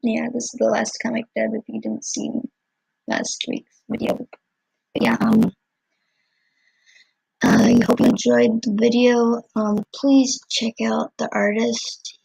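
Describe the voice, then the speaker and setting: Slow, hesitant woman with a creaky voice. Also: a little high, animated, a little mellow, dry recording, rough recording. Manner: hesitant, halting. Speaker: comic dub creator. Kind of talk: casual video outro to viewers.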